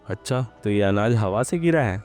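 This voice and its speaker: A man's voice: on the low side, expressive, normal speed, darkish tone, close to an everyday voice. A man voicing a cruel, bullying landlord in a Hindi-style village horror story, with a threatening, scornful manner. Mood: angry, scornful. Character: cruel, menacing landlord man, bullying his farm workers.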